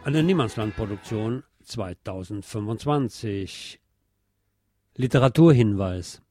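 A man's voice: lowish voice, expressive, a little slow, mellow, faint music behind. A man reading scripted narration as a podcast host.

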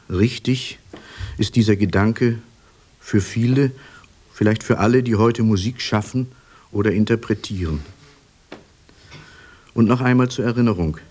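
Guy with a lowish voice reading a radio script, measured and deliberate. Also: a little mellow. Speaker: radio presenter. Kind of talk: scripted narration.

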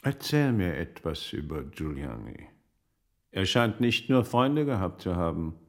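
An actor in a German radio play playing a shrewd magistrate's investigator in old Italy, with a measured, formal, questioning manner. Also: male voice, low-pitched, big pitch swings, full character voice. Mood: probing, measured.

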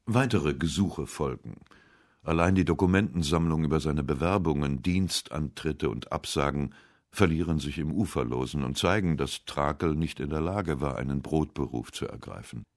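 A man narrating a scripted literary biography for radio in a measured, articulate way. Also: extremely low pitch, no room sound, clean studio sound.

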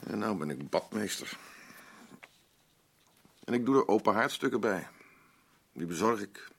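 An actor playing a blunt, rambling working-class husband in a radio drama, boastful, self-pitying and defensive. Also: male voice, on the low side, expressive, measured pace, gravelly, fairly bright tone, breathy edge, heavily acted. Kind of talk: naturalistic radio drama dialogue.

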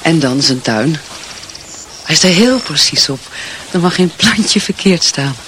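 A man's voice: a little high, animated, unhurried, a bit bright, slight background noise. An actor in a radio drama playing an earnest, dutiful wife and mother, hesitant, searching and sincere. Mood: wistful, confiding.

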